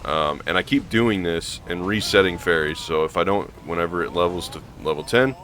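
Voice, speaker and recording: A hoarse man with a gravelly voice, rambling casually through gameplay commentary with lots of ums and restarts. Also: deep voice, a little fast, a bit bright, slight background noise. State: hoarse from allergies. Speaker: gaming channel host.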